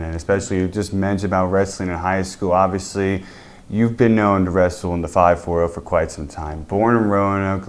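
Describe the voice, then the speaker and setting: Deep-voiced young American male interviewer. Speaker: sports interviewer. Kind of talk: sit-down interview questioning.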